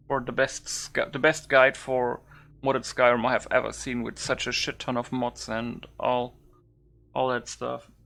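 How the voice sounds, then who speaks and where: A man's voice: medium pitch, creaky, a bit bright. A man doing loose, informal game commentary as a YouTuber, thinking aloud without a script. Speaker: gaming YouTuber showing a modded game. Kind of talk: casual unscripted game commentary.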